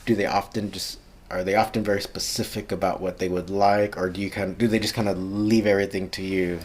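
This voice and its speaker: A woman's voice: extremely low pitch, little pitch movement, fairly quick, darkish tone, breathy edge. A woman hosting a podcast interview, asking rambling questions full of fillers and giving constant backchannel replies. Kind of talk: casual interview chat.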